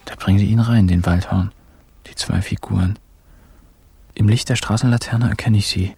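An irreverent narrator in a radio crime comedy. He tells it in plain colloquial present tense, dry and wry, at a middling-low pitch over faint music. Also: steady tone, moderate pace, creaky, dark tone, breathy edge, no echo, heavily acted. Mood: wry storytelling. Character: wry, irreverent younger man recounting a crime caper.

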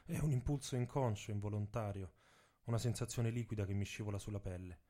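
A man's voice: fairly low pitch, quick pace, dark tone. A man reading scripted, dramatic narration for a radio theatre piece. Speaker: author and narrator. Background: music with instruments.

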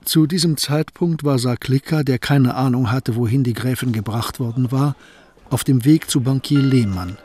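Calm male radio play narrator. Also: medium pitch, breathy edge. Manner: calm, measured storytelling.

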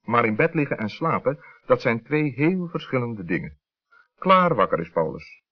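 A man tells a children's story in a husky voice with lively, swooping intonation. He has a Netherlands Dutch accent, and the vintage recording sounds dull. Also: medium pitch, moderate pace. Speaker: storyteller, narrator. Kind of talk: scripted children's story narration. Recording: muffled vintage radio recording.

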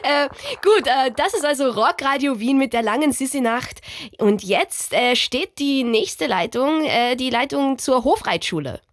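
An actress playing a flustered, effusive radio presenter in a radio play, chatty and stumbling. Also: female voice, high-pitched, animated, unhurried. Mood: gushing, flustered. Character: gushing, flustered radio show hostess.

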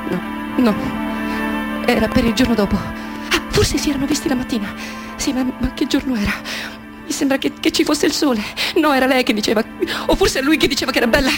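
A woman's voice: sits high, close to an everyday voice. An actress playing an anguished, bitterly self-scorning woman in a theatrical radio drama, intense and repetitive, dropping into murmurs. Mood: wistful, hesitant.

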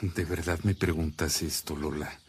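An actor with a Mexican accent playing an earnest, reflective priest in a radio drama, speaking in a measured, solemn way. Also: male voice, extremely low pitch, steady tone, slightly rough, full character voice. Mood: troubled, admiring. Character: earnest, reflective Mexican priest, calming his worried sister. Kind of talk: Spanish-language radio drama acting.

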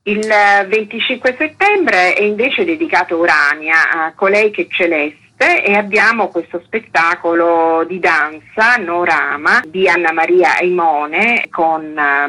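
A woman with a deep, husky voice speaks as a guest in a radio interview. She explains in an orderly, didactic way, moving through details item by item. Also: bright, forceful tone, rough recording. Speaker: archaeological park director, interview guest.

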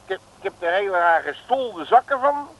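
An actor playing a grumbling, streetwise detective with slangy curses in an old comic radio play. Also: male voice, sits high, very animated, moderate pace, a bit bright, muffled recording, full character voice. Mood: chatty, scheming. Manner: slangy, colourful cursing. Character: blustering, grumbling, streetwise Rotterdam private detective. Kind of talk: 1950s-60s comic radio play acting. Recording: noisy old radio recording.

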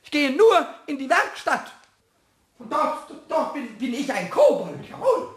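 A man voicing a small, cheeky, jealous kobold in a children's radio play, squawky and excitable, stammering out indignant repetitions. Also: very high voice, a little slow, full character voice. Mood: offended.

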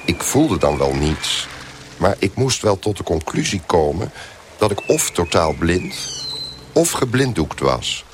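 An actor playing a trapped, bewildered man who tells his own ordeal in a radio drama, in a deliberate, musing, wry manner. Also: low-pitched, very animated, slight background noise. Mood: puzzled, musing. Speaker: narrator and lead character. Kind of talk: radio drama first-person narration.

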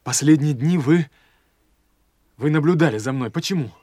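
A man's voice: fairly high pitch, darkish tone, dry recording, clean studio sound, lightly acted. A man acting an anxious, stammering young man. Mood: shaken, urgent. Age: young adult. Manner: halting, stammering, repeating words. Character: anxious young golfer fearing he is going mad. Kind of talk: audio drama acting.

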